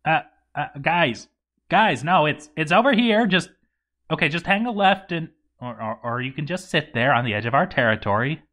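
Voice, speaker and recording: A man's voice: fairly high pitch, animated, moderate pace, pushed and bright. A man voicing a grouchy, boozy zombie dwarf overseer in a game journal story, stammering and exasperated. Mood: rambling, grumbling. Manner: stammering, exasperated, hammy delivery. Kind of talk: dramatic reading of a game journal.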